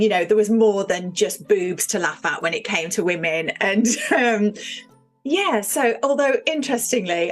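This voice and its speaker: Comedian interviewee: female, fifties, conversational and emphatic, medium pitch, light music underneath. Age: 50s. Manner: chatty, emphatic repetition. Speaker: stand-up comedian being interviewed. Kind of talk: chatty interview.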